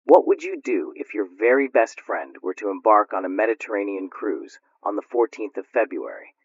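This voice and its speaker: A man's voice: fairly low pitch, moderate pace, husky, no echo. Dry, muffled, forlorn young suitor acted by a man. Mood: desperate. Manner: formal, melodramatic, earnest. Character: forlorn, earnest, overwrought young male suitor. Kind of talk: radio drama acting. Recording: dull, close, dry recording.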